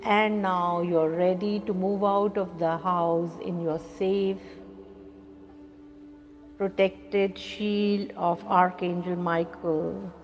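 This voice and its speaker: A middle-aged woman with an Indian accent leads a guided meditation in a measured, soothing, instructive manner. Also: fairly low pitch, level tone, faint music behind. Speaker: meditation guide and spiritual healer. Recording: long reverb, dull lo-fi sound, faint noise.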